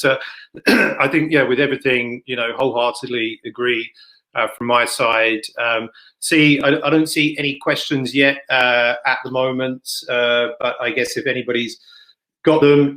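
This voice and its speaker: A man hosting an online event in a casual, unscripted way, with halting, informal delivery. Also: medium pitch, sharp tone, low-quality recording. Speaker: event host and moderator. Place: online video session. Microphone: computer microphone, plugging in another mic partway.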